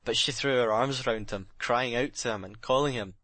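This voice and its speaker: A man's voice: medium pitch, normal speed, fairly bright tone, no room sound, close to an everyday voice. A man playing a jealous, haunted sailor giving a bitter, intense murder confession in a dramatic audiobook reading. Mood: grim, agitated. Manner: intense dramatic confession, plain working-man's phrasing.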